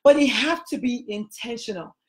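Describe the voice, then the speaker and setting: A woman's voice: on the high side, expressive, normal speed. A middle-aged woman with a Nigerian accent, hosting a casual interview chat from a small room at home, talking emphatically into a laptop webcam. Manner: emphatic, gestures broadly while talking. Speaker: podcast host.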